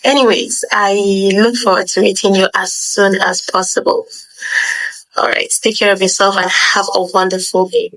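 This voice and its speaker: A woman's voice: medium pitch, flat delivery, normal speed, fairly bright tone, poor audio. A Nigerian woman, an online English tutor, giving a welcoming scripted self-introduction.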